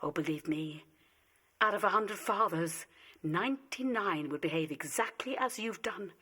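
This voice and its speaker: A woman acting an anxious, emotional wife and mother in a radio play, speaking theatrically with dramatic pauses. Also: fairly low pitch, animated, pushed and bright, husky, full character voice. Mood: firm, emphatic.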